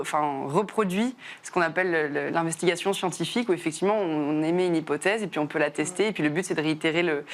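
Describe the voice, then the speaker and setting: A woman in her twenties from southern France, a chemist answering interview questions with many fillers and restarts. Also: deep voice. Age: 20s. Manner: fast, frequent "euh" fillers and restarts. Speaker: chemist and startup founder.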